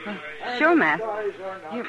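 A woman's voice: a little high, very animated, slow, dull audio. An American woman playing a concerned, hesitant frontier saloon woman in a 1950s radio western. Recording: old radio recording, music behind.

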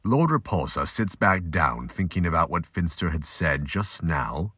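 A man's voice: deep voice, very animated, a little slow, a bit bright, husky, dry recording, clean studio sound. A man delivering scripted, emphatic narration for an action audio drama.

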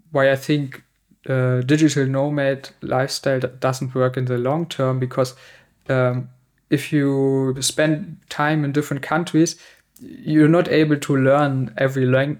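Male podcast host from Germany; flat, even delivery, mid pitch, searching questions. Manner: monotone, searching questions with fillers. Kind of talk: casual interview chat.

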